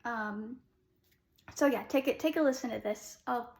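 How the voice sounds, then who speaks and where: A young adult woman, a classical soprano, giving a relaxed vocal lesson in a chatty way with many ums. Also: on the high side, unhurried, reverberant.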